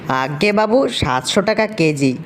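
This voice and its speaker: A polite village stallholder voiced by a man in a cartoon talks slowly in a high voice. He is neighbourly and deferential. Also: lively intonation, slight background noise. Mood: obliging. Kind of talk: cartoon dialogue acting.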